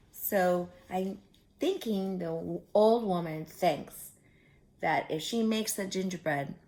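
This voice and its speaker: A woman reading a children's story aloud in an expressive, drawn-out way, with chatty asides to young listeners. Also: fairly low pitch, gravelly, low-quality recording.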